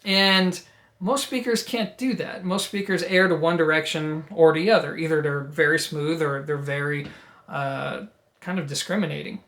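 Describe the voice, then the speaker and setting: Higher-voiced man with a Jersey accent. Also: fairly even intonation, moderate pace, a bit bright. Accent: New Jersey. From New Jersey, United States. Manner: enthusiastic, emphatic, addressing viewers directly. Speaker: audio equipment reviewer and host. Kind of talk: conversational product review.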